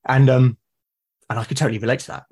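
A man's voice: medium pitch, level tone, fast, gravelly, darkish tone, slightly rough. A man with a British accent chatting casually and earnestly as a podcast guest. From Britain. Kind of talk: casual conversation.

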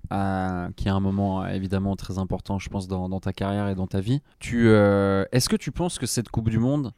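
A young man from Switzerland acting as interviewer in a comic mock interview, asking playful, drawn-out questions. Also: sits low, fairly even intonation, darkish tone, smooth, dry recording.

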